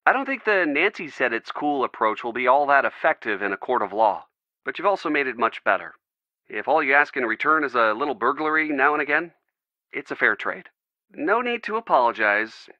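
An actor playing a caring, loyal young American boyfriend in a video game, earnest and gently wry. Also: male voice, medium pitch, big pitch swings, brisk, dry recording, dull audio. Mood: wry, joking. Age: young adult. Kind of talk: video game dialogue, phone conversation.

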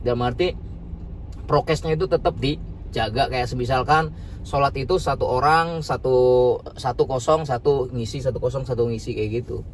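Male vlogger, chatty, rambling, off-mic on a loud city street with traffic behind. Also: medium pitch, normal speed. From Indonesia. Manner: chatty, rambling, repeats tag questions. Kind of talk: casual walking vlog. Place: busy city street outdoors. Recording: distant outdoor street recording.

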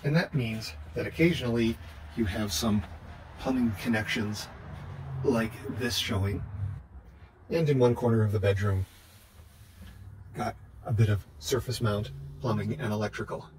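Measured older man explaining bus plumbing. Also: lowish voice, a little hiss or hum, low-quality recording. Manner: measured, matter-of-fact explaining. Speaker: vlogger. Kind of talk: calm how-to narration.

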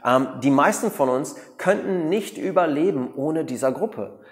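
A man's voice: fairly high pitch, expressive, measured pace, in a large hall. A man in his thirties from Australia, speaking German as his second language with an English-speaking accent, explaining to camera with frequent fillers and restarts. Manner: hesitant, many fillers and restarts, mixes in English words. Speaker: meditation teacher. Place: bright room with plants, indoors. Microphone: lapel mic.

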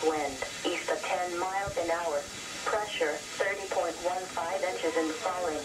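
An American woman reading an automated weather radio bulletin in measured, impersonal, clipped phrases. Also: on the low side, fairly even intonation, over music. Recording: noisy weather radio broadcast, filmed off television.

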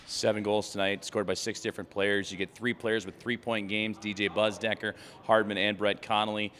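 Man, sports interviewer, low crisp voice, rapid long upbeat questions. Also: flat delivery, fairly bright tone. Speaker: team broadcaster interviewer.

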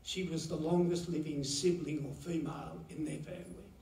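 A man gives a halting, pause-filled eulogy for his mother at a chapel lectern. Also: a little high, level tone, dark tone, slight background noise. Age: 70s. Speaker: son giving a eulogy. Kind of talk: memorial eulogy. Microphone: lectern mic, heard from a far camera. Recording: roomy chapel echo from far off.

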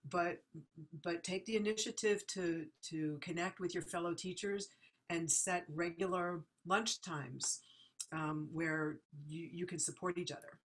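Woman teacher on a zoom panel, lowish voice, lots of ums and restarts. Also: steady tone, a little slow. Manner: thoughtful, many fillers and restarts. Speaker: music educator panelist. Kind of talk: video call panel discussion. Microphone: video call laptop mic.

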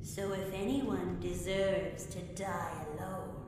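A woman voicing a giant mother toy monster in a horror game, theatrical and mock-motherly, with singsong, drawn-out phrasing that carries menace. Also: on the low side, fairly even intonation, very echoey, in a large hall, over music. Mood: bitter, mournful.

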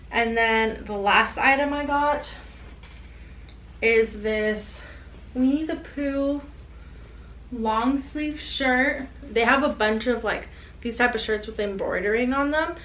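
A woman's voice: fairly high pitch, fairly bright tone. Chatty young woman in an echoey room. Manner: chatty, upbeat, showing items to camera. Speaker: reseller vlogger. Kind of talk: casual haul vlog. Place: small home room with clothing rack. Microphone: camera on desk at close range. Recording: echoey room, faint background hiss.

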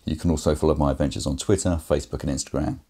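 Deep-voiced man in workshop. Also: steady tone, racing, warm tone, slightly rough. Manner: explains the build step by step with fillers. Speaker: maker presenting his build. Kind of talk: casual workshop walkthrough. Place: indoor workshop garage.

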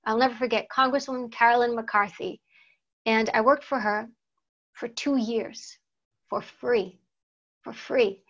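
A woman's voice: a little high, steady tone, unhurried, pauses often. A woman, telling her life story earnestly in an interview and repeating words for emphasis. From United States. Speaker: guest, former secret service agent. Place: plain studio backdrop. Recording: slightly dull lo-fi sound.

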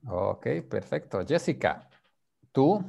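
A man's voice: lowish voice, sing-song, slow pace, gravelly, darkish tone, highs cut off. Patient male teacher on a video call. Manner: patient, prompting students, mixing Spanish and English. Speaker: Spanish teacher. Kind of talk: online group language class.

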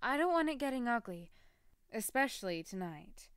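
A woman's voice: on the high side, big pitch swings, moderate pace, clean tone, no room sound, clean studio sound. A woman voicing a worried, exasperated teenage girl who keeps the peace, in an audiobook. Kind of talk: audiobook character acting.

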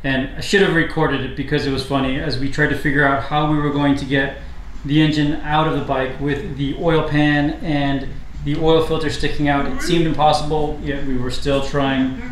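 Casual man in an echoey garage. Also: on the high side. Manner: relaxed, matter-of-fact, frequent "uh" pauses. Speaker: motorcycle builder vlogger. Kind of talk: casual workshop narration. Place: hot home garage with a fan running. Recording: echoey garage, faint fan noise. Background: fan noise.

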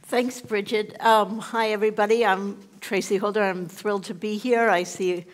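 A woman's voice: mid-range voice, steady tone, moderate pace. A woman with a New York accent, introducing her organization to a conference audience in an upbeat, joking way. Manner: upbeat, joking, many fillers. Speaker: panelist.

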